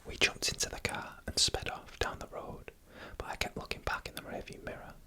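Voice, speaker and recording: A narrator reading a scripted story in a breathy ASMR whisper, in slow, deliberate phrases with short pauses. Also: sharp tone.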